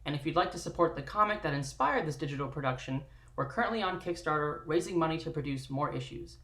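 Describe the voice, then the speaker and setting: A woman's voice: deep voice, quick pace, fairly bright tone. A woman hosting a live-streamed table read, introducing it and narrating from a script.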